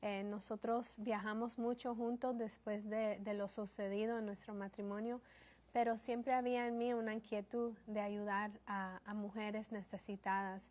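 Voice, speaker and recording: A woman's voice: mid-range voice, normal speed. Earnest woman. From Colombia. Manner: earnest, steady storytelling. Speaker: guest giving a testimony.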